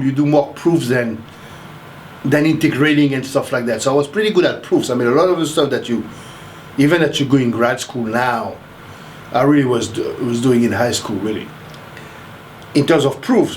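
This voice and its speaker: Man in his 60s, from Haiti, casual interview, rambling, restarts, mid pitch, faint background noise. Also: poor audio. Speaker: interviewee, math professor.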